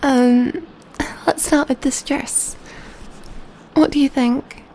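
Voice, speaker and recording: A very high, mellow female vampire, acted by a woman for an ASMR roleplay, cooing and soothing as she pampers her companion. She takes her time, speaking slowly and tenderly, close to the listener. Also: steady tone, slight background noise. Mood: gentle, doting. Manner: tender, cooing, soothing, often dropping to whispers. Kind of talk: ASMR roleplay, soft intimate speech.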